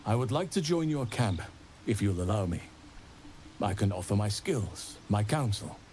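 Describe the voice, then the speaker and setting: Man acting a grave, low-voiced druid leader. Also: animated, darkish tone, a little hiss or hum, lightly acted. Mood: earnest. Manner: measured, formal, grave phrasing. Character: earnest, learned male druid leader burdened by regret. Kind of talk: dramatic fantasy video game dialogue. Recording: game music behind.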